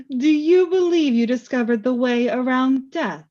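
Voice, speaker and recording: A woman playing Death in a radio play as a calm, knowing spirit, speaking in a measured, theatrical way with a taunting edge. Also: high-pitched, muffled recording. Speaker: voice actor.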